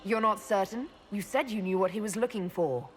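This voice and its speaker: A blunt, practical commander, voiced by a woman, mid-pitched and direct, cutting to the point. Game music plays clearly under her lines. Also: lightly acted. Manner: direct, brisk plain speech. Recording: game cutscene with clear score.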